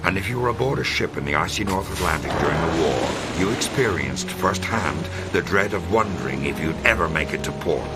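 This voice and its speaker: Deep-voiced, grave wartime narrator, loud music. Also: male voice, lively intonation. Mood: somber. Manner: grave, measured, authoritative. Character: grave military briefing officer and wartime documentary narrator. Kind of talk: scripted documentary narration. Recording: music bed under the voice. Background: loud dramatic music.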